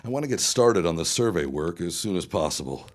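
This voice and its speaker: A man's voice: low voice, expressive, fairly quick. An actor playing a cold, domineering 1910s businessman husband in an audio drama, brusque, dismissive and commanding. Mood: impatient, businesslike. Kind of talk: period audio drama acting.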